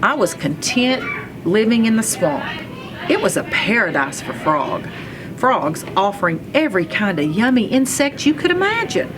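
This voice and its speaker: A woman reading a children's story aloud in an expressive, playful manner. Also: medium pitch, moderate pace, background noise. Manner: expressive, playful, acts out sounds and exclamations. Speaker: read-aloud reader, school superintendent.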